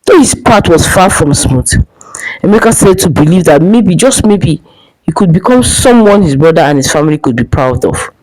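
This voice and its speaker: Woman storyteller with lively, swinging pitch. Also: moderate pace, low-quality recording. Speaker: storyteller, narrator. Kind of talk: dramatic folktale narration.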